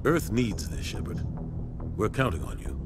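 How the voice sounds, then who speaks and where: An actor playing a grave, authoritative starship captain in a video game, speaking in a firm, measured way. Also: male voice, lowish voice, sing-song. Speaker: military captain. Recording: game audio with music underneath.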